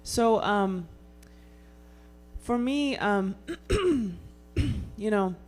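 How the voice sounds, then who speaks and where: A woman answering a panel question in a hesitant, quiet way, with many pauses and ums. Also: mid-range voice.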